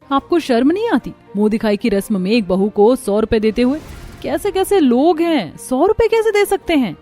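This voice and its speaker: A woman's voice: sits high, very animated, normal speed, mellow, soft background music. A woman voicing a haughty, spoiled young rich bride in a cartoon story, complaining with disdain and dropping in English words. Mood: outraged. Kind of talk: Hindi cartoon story voice acting.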